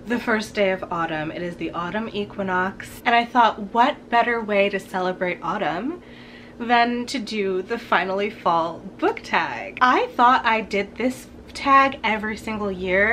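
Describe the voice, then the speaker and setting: A young woman talking to camera about books in a room lined with bookshelves, chatty and upbeat, her voice husky from a cold. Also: a little high, fairly bright tone, slight background noise. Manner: chatty, upbeat, laughs between sentences. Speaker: book vlogger. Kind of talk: casual book tag talk to camera.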